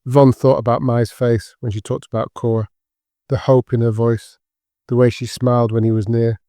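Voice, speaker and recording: A man narrates serious fiction in a mellow, dark voice with little rise and fall. His delivery is sombre and measured, and the recording is dry and close. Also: mid-range voice, little pitch movement, dry recording. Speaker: audiobook narrator. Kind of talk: scripted dramatic fiction narration.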